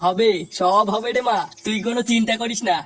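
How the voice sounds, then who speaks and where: An actor voicing a sleazy fake holy man in a cartoon, speaking in patronising, drawn-out reassurances. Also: mid-range voice, fairly even intonation, fairly bright tone, recorded from a distance, natural voice. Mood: oily, reassuring. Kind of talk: comic cartoon dubbing.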